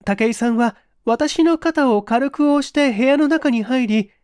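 A woman plays a young office worker in a dramatized mystery reading. Her voice is very high and closely recorded without room sound. She speaks in deferential, formal phrases, hesitant and distressed as she confesses. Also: unhurried, a little mellow, dry recording, clean studio sound. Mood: earnest recounting.

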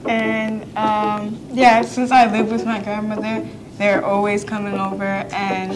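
A woman's voice: medium pitch, level tone, a little slow, noisy background. A young woman speaking hesitantly about living with her grandmother.

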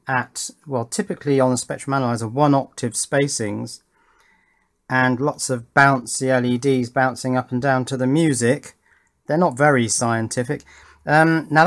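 A man hosting an electronics channel, giving a casual hands-on demonstration and thinking aloud as he works. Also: mid-range voice. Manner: thinking aloud while working, with tag questions. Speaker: host of an electronics build channel. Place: indoors at a wooden workbench.

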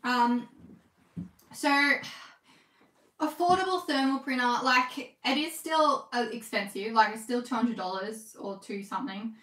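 Young aussie girl chatting on a live stream, fast and giggly, slightly high voice, roomy echo. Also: a bit bright. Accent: Australian. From Australia. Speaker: livestream host, scrunchie maker. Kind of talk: casual live chat reading comments. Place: home sewing room, indoors.

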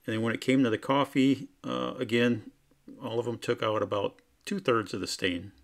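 A man's voice: mid-range voice, steady tone, moderate pace, vocal fry. A man narrating a plain, matter-of-fact home test of laundry detergents as a product reviewer.

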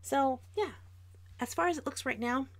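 A woman's voice: high voice, moderate pace, background noise. An American woman of about forty chatting casually and informally to viewers as the host of an art livestream. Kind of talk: casual livestream chat while drawing.

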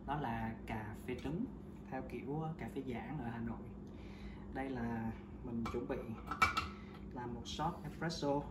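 A man's voice: mid-range voice, unhurried, recorded from a distance. Chatty man demonstrating recipe in echoey kitchen. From Vietnam. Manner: relaxed, chatty, frequent hesitation fillers. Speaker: home cooking vlogger. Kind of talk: casual how-to demonstration. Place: home kitchen, indoors. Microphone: camera mic on counter. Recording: roomy kitchen, voice off-mic, loud background noise.

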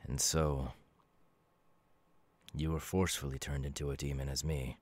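A man voicing a gentle, melancholy demon who was once human, in a measured, reflective ASMR roleplay with pauses. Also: a bass voice, little pitch movement, breathy edge, no room sound. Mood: calm, wistful. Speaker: voice actor. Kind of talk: ASMR audio roleplay, slow intimate acting.

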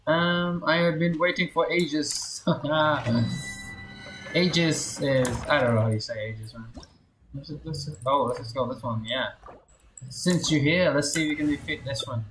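A woman's voice: low-pitched, measured pace. A woman game streamer chatting casually and playfully with viewers while playing on a livestream. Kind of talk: casual livestream gameplay chat. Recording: roomy echo, faint background noise.

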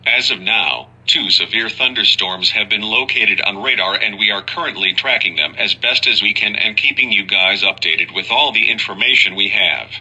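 Guy doing tv weather news, really sharp forceful voice, lowish, muffled noisy recording. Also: moderate pace, hardly any pauses, slightly rough. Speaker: news anchor. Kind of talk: amateur TV weather news broadcast.

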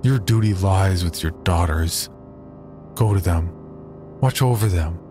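A man's voice: deep voice, a little slow, music in the background. A man voicing a cold, disdainful frontier man who speaks curtly. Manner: cold, curt.

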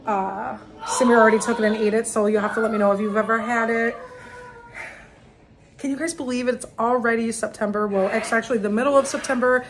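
A chatty woman in her thirties talks straight to the camera in a casual, rambling way, her voice sitting a little high. She films herself at arm's length in a room of her home, with a faint household hum behind her. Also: fairly even intonation, a little fast. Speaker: vlogger, single mom of three. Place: home dining area indoors. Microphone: phone or camera at arm's length.

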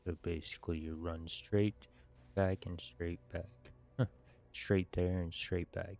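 Slow, deep, gravelly man reading aloud. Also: steady tone, darkish tone, soft background music. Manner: measured, halting reading. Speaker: gaming video host reading quests aloud. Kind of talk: reading game text aloud, casual gameplay commentary. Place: indoors at a computer.

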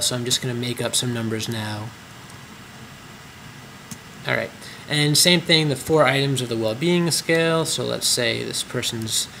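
Man, mid-pitched voice, steady instructional talk, faint hum behind. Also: vocal fry, poor audio. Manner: explanatory, frequent ums and uhs. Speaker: clinic trainer. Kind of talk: screen-recorded software tutorial.

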